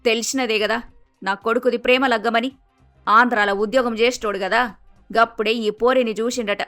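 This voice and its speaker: Woman acting high-pitched cartoon mother-in-law, Telangana accent, blunt, bossy, earthy, faint music underneath. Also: steady tone, moderate pace, a bit bright, close to an everyday voice. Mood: boastful, chatty. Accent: Telangana Telugu. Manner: blunt, emphatic. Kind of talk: acted dialogue in animated moral story.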